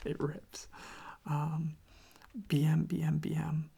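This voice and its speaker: An ASMR creator speaking very softly and casually into a close microphone, with a breathy, creaky voice and a meandering, quietly enthusiastic way of talking. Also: medium pitch, completely flat delivery, slow. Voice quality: whispery, breathy with creaky fry. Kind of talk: soft-spoken ASMR, rambling music talk.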